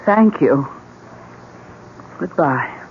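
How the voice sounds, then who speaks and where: An actress playing a worried, insistent wife and inn owner in a radio drama, earnest and pressing. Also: female voice, deep voice, expressive, drawn-out words, full character voice. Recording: tinny radio broadcast, faint noise.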